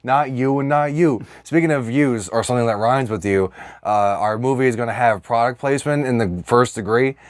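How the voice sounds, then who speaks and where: A man's voice: mid-range voice, fairly quick, no echo, clean studio sound. A man co-hosting a podcast in casual comedic banter, full of slang, swearing and jokes. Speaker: podcast co-host.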